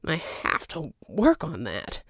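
A woman's voice: sits low, wildly swooping pitch, slow, no echo, clean studio sound, pitched below the performer's own voice, exaggerated voice. A woman voicing a cheerful, menacing male villain tycoon in an audiobook, with theatrical mock charm. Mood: breezy, needling.

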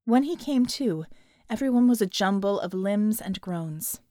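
A woman's voice: medium pitch, expressive, measured pace, warm tone, dry recording, clean studio sound. A woman narrating a scripted dramatic action story as an audiobook reader.

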